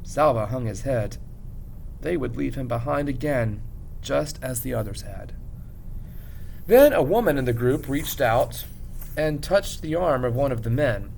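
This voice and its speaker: A man narrates a story in a creaky, mid-pitched voice, reading expressively like a teacher to a class. He takes his time, leaning on key phrases and leaving dramatic pauses. There is a faint hiss and rumble behind him. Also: expressive, a little mellow, rough recording.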